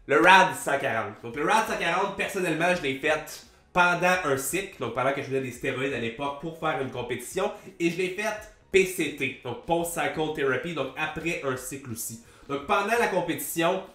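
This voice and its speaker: A young man with a Québécois French accent and a head cold that makes him sound nasal, talking fast and casually to camera as a fitness coach, with the odd swear word. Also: on the high side, echoey room. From Quebec. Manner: fast, animated, swears casually, gestures a lot. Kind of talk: casual vlog talk to camera. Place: small room with a plain grey wall. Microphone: large desk mic on a boom arm.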